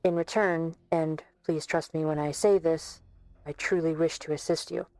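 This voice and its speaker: A calm, formal doctor speaks with quiet resolve in a low register, voiced by a woman. The voice is dry and close to the mic, measured and courteous but unbending. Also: dry recording, low-quality recording. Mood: steady. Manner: composed, polite and firm. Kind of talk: amateur fan dub acting.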